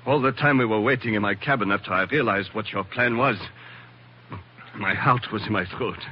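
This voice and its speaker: An actor playing an excitable, courteous Frenchman in a 1940s radio drama. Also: fairly low pitch, normal speed, natural voice. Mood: relieved, courteous. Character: excitable Frenchman aboard ship, anxious, courteous ally. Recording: noisy, dull 1940s radio recording.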